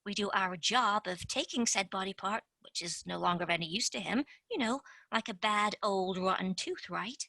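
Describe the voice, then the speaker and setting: A woman playing a pushy, scheming young female tooth fairy agent in a stage play, fast-talking and dropping into conspiratorial whispers. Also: medium pitch, gravelly, bright, forceful tone, no room sound. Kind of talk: amateur stage-play acting.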